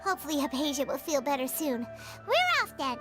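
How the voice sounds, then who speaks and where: A woman playing a small, childlike, chatty fairy companion in a video game, with perky exclamations and a squeaky voice. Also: huge pitch swings, brisk. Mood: curious, chatty. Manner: perky, exclamatory, refers to self in third person. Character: small childlike fairy companion girl, excitable, chatty, third-person speaker. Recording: game music behind the voice.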